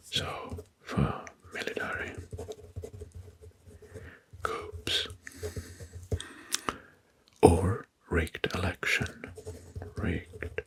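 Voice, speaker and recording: A man narrating soft-spoken ASMR in a breathy, husky whisper, deliberately repeating names and phrases. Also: deep voice. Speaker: host of an ASMR history channel.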